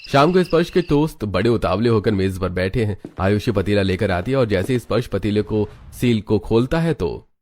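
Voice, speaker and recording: A man narrating a cartoon story in a steady storytelling manner. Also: fairly low pitch, normal speed, few pauses, a little hiss or hum. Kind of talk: scripted narration.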